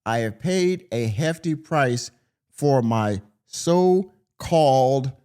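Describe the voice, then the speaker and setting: A man's voice: mid-range voice, animated, extremely slow, warm tone, clean tone. A man, hosting a podcast, who reads an article aloud and breaks in with drawling, sarcastic commentary. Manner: drawling, sarcastic, stretches words for emphasis. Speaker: podcast host.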